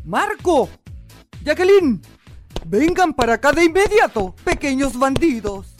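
A wicked Chilean village tyrant in a radio drama. Her high-pitched voice gloats and barks orders with menace, taking its time over each threat, over prominent music. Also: big pitch swings, measured pace. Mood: bossy. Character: cruel, bullying villainess mother, worst woman in the world. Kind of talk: Spanish-language radio theatre acting.

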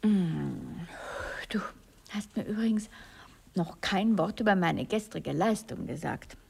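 An actress playing a vain, capricious stage diva in a 1960s radio play, teasing and condescending, often dropping to a breathy murmur. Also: female voice, fairly low pitch, lively intonation, takes it slowly, a little mellow, full character voice. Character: capricious vain actress, teasing imperious seductress of her lover.

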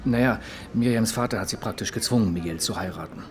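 An actor playing a jilted former fiancé being questioned in a radio crime drama, talking in a halting, reflective way with some bitterness. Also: male voice, lowish voice, close to an everyday voice. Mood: wistful, bitter.

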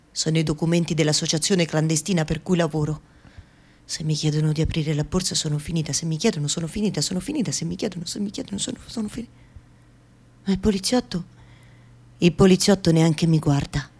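A woman acting a fearful yet defiant Afghan woman in a radio theatre monologue, speaking in deliberate, repetitive short phrases. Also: low-pitched. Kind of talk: dramatic first-person monologue, radio theatre.

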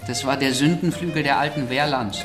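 A man's voice: medium pitch, a little fast, close to an everyday voice. A male actor portrays a sardonic lord of the manor in a radio drama. His delivery is dry and condescending, in a roomy acoustic with music behind. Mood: amused, mocking.

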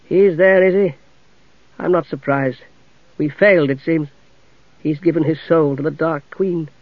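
A man voicing an exhausted half-elven commander who speaks quietly and wearily. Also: a little high, steady tone, unhurried, no room sound. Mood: troubled. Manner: quiet, tired. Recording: dull, dry recording.

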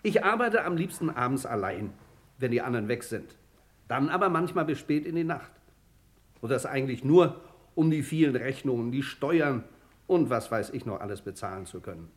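A man plays a hard-working auto mechanic in a German radio crime drama. He sits at a middle pitch and talks plainly and obligingly. He comes across as modest and decent. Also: expressive, normal speed, lightly acted. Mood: modest, chatty.

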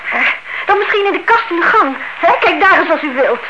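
Sensible, exasperated younger woman, radio drama, high voice, brisk and mocking, very noisy old recording. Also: lively intonation, muffled recording. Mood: brisk, bossy. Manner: impatient, mocking, brisk and bossy.

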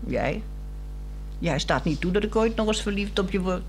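A woman's voice: mid-range voice, expressive, moderate pace, darkish tone, natural voice. A woman acting a yearning, coaxing wife who speaks frankly in a 1960s radio drama. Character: restless, yearning wife, coaxing and frank, craving new romance. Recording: 1960s radio play, music behind.

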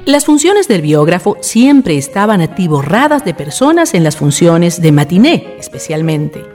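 A woman narrates a radio drama as a scripted storyteller. Her voice sits slightly low and moves with lively intonation, with music clearly underneath. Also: normal speed. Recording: music behind the voice.

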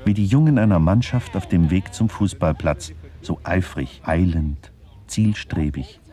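An actor playing a wry, digressive narrator in a literary radio play, musing deliberately and addressing the listeners. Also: male voice, sits low, expressive, mellow. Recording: clearly noisy background.

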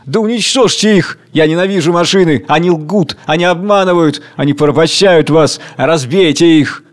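A man voicing a furious, raving tyrant king of hunger, declaiming and commanding loudly. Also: fairly high pitch, normal speed, close to an everyday voice. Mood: raging.

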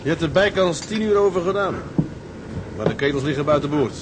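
Sober ship's officer played by a man, slightly high male voice, measured and plain-spoken, radio play, noisy deck ambience. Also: expressive, close to an everyday voice. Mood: admiring. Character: sober, level-headed male seaman, the captain's fellow officer. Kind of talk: Dutch radio drama acting. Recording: noisy outdoor ship ambience.

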